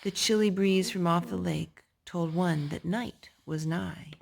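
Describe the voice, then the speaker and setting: A woman reading a rhyming children's story aloud in a deliberate storyteller's way, phrased for young children. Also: fairly low pitch, creaky, a little mellow.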